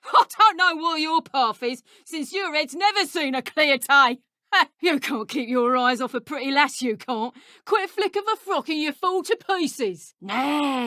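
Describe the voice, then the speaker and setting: A woman playing a brash, boastful dark wizard poacher in a video game, with a working-class English accent and a cheeky, colloquial way of talking. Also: sits high, dry recording, clean studio sound. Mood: scornful, indignant. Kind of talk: scripted video game enemy voice lines.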